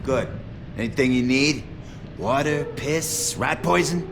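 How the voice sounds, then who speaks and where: A man's voice: mid-range voice, slow pace. An actor playing a cruel, taunting male asylum orderly in a video game. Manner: taunting, gruff. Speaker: game character. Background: game score music.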